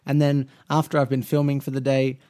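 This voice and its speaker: A man's voice: mid-range voice, completely flat delivery, a little fast, mellow. A man in his twenties with an Australian accent, chatting casually and rambling through a Q&A vlog for his gaming channel. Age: 20s. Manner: rambling, with frequent restarts and fillers; easygoing, chatty. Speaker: mobile gaming YouTuber.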